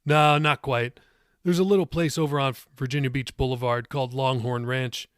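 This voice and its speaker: A man's voice: mid-range voice, steady tone, moderate pace, clean tone, no echo, clean studio sound, natural voice. A man voicing a jokey, affectionate young father in an audiobook story. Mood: joking, affectionate.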